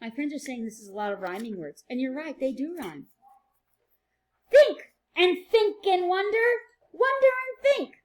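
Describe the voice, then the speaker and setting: A woman teacher reading a picture book aloud to children, playful and sing-song, with quieter chatty asides. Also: high-pitched, lively intonation, normal speed.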